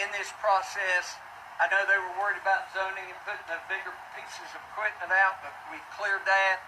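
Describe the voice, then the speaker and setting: Male city official, high voice, folksy and halting press remarks, picked up from a distance. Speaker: city official speaking at an announcement. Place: public announcement event. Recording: noisy distant mic, ambient background hum.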